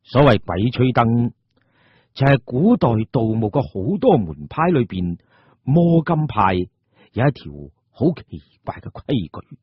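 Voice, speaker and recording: Husky Cantonese male storyteller with animated pitch. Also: a little slow, dry recording. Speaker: storyteller, narrator. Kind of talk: dramatic scripted audio-drama narration. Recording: muffled, dull audio.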